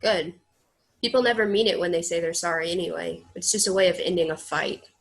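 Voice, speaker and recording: An actress in a play reading, playing a scornful teenage daughter with a blunt, accusing manner. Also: female voice, fairly low pitch, a little fast, creaky. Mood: sullen, bitter.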